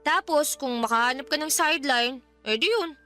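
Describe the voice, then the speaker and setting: An outspoken young Filipina best friend in a radio drama. Her voice is very high and bright, with slangy, teasing talk in a Filipino accent, over a light music bed. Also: moderate pace. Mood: urging, reassuring. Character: Jem, outspoken, jokey young daughter and loyal best friend.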